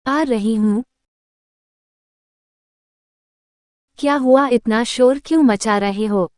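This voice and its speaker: Sensible cartoon village wife female actor, assured and firm, crisp voice, dry studio-close sound. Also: fairly high pitch, a little slow, darkish tone, no echo, natural voice. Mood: questioning, scolding. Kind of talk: Hindi cartoon story dialogue.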